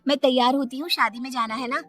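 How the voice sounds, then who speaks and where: A woman acting a guileless high-voiced young daughter-in-law. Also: steady tone, fast talker, fairly bright tone, soft background music. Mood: innocent, candid. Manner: innocent, matter-of-fact. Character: guileless, honest young daughter-in-law who cannot lie.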